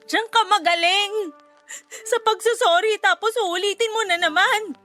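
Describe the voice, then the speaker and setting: An actress playing a resentful, defensive young wife in a Tagalog radio drama, arguing and complaining emphatically. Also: female voice, extremely high pitch, measured pace, pushed and bright, faint music behind, full character voice. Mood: hurt, sulking. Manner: indignant, argumentative, emphatic complaining.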